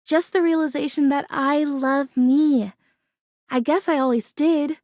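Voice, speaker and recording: Very high-pitched, sweet little talking houseplant. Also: female voice, fairly even intonation, measured pace, a little mellow, no room sound, studio quality. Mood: tender, content. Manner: quaint, folksy exclamations, earnest and gushing. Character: sweet, plucky, excitable female talking houseplant. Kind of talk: video game character acting.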